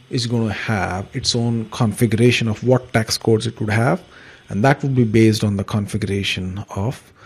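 Gravelly, husky man teaching software. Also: on the low side. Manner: explanatory, conversational, uses examples. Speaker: software trainer, instructor. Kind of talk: informal step-by-step screen tutorial narration.

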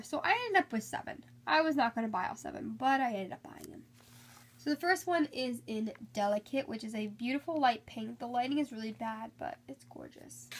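A woman's voice: on the high side, fairly bright tone, a little hiss or hum. A young woman chatting casually through a shopping haul, slightly congested from a cold, filmed on a handheld phone at home. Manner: chatty, upbeat, with frequent fillers. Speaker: haul vlogger. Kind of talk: casual haul video chat. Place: indoors at home, filming items on a bed.

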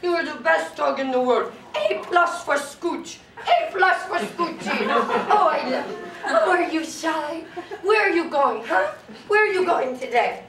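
A woman's voice: sits high, animated, unhurried, distant mic, background noise. A woman acting as a sweet, gushing neighbor who adores a dog, in a live comedy sketch. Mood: gushing, adoring. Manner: cooing, effusive baby talk to a dog. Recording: echoey stage from afar, audience crowd.

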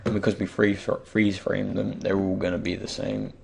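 Croaky, low-voiced man giving a tutorial. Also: level tone, normal speed. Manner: hesitant, thinking aloud. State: croaky from just waking up. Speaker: tutorial presenter. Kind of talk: unscripted screen-recorded software tutorial. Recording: faint background noise, keyboard and mouse clicks.

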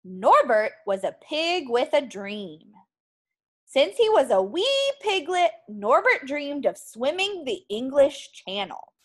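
A woman teacher reading a picture book aloud to young children in an animated, expressive way. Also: high voice, slow pace.

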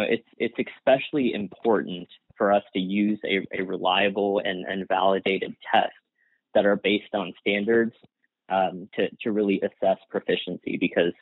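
A man's voice: on the low side, slightly rough, no echo. A man whose first language is Gujarati, answering interview questions casually, with frequent restarts and fillers and light laughs. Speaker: guest, workplace psychologist. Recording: tinny phone-like line, dry.

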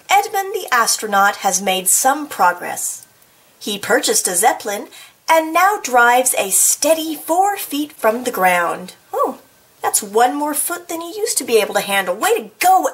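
A woman reading a children's picture book aloud in an expressive, playful way, with casual, chatty asides. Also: sits high. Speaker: storybook reader.

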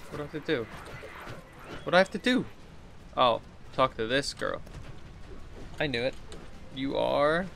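A man's voice: mid-range voice, lively intonation, unhurried, pauses often, a bit bright. A man in his thirties giving casual, rambling game commentary, talking to himself and reading quest text aloud. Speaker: gaming video host. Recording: clear background noise, game ambience.

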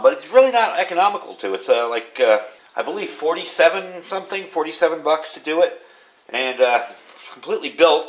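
A man's voice: mid-range voice, a bit bright. A man giving a casual, hands-on product review, explaining things informally and without a script. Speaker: radio reviewer. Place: indoors at a workbench. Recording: clear background noise, room ambience.

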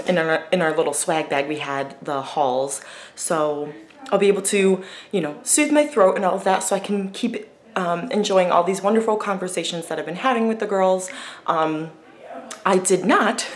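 A young woman vlogging to a camera held at arm's length in a bedroom, chatty and hoarse with a sore morning voice. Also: on the low side, roomy sound. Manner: chatty, laughing, lots of fillers. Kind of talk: casual vlog to camera. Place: bedroom in a rented house.

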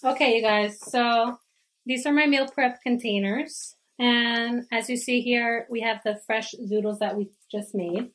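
A woman's voice: on the high side, fairly even intonation, measured pace, fairly bright tone. A young woman talking casually to her viewers as she shows how she cooks in her home kitchen, chatty and enthusiastic. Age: young adult. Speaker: cooking vlogger. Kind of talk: casual cooking demonstration. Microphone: camera on a stand, close for talking and a bit further back at the stove.

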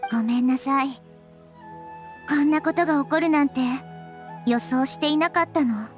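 A childlike goddess from an anime-style game, voiced by a woman, with a high female voice. She is composed and kindly, explaining things calmly and clearly like someone wise. Light music sits behind her. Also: moderate pace, close to an everyday voice. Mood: apologetic, gentle. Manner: calm, reassuring, articulate.

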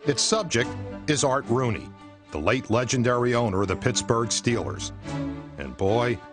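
A man's voice: mid-range voice, lively intonation, normal speed. American man narrating over music. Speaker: documentary narrator. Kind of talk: scripted narration. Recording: music behind the voice. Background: background music.

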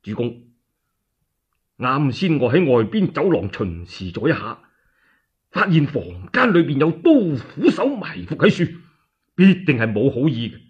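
A loyal warrior general in a Cantonese radio tale, acted by a man storyteller. He is watchful and grave, with a husky voice that moves widely in pitch. The recording is slightly dull. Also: sing-song, measured pace, pauses often. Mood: urgent, hushed.

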